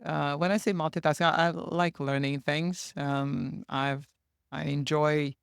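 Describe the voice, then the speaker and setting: A non-native English speaker from Serbia with a Serbian accent, chatting casually as a guest in a lively, self-deprecating way. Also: mid-range voice, unhurried, vocal fry, clear, resonant tone, dry recording, studio quality. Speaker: operations team member, guest. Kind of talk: casual conversation.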